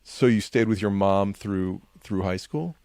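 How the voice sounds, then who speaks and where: A man hosting an interview, asking gentle, curious questions with frequent restarts. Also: lowish voice, unhurried, no echo. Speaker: podcast host.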